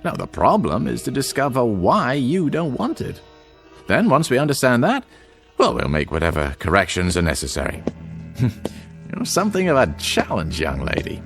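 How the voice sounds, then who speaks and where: An actor playing a patronizing, quietly menacing doctor in a radio drama, condescending and smoothly reassuring. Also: male voice, medium pitch, wildly swooping pitch, normal speed. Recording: music bed under voice.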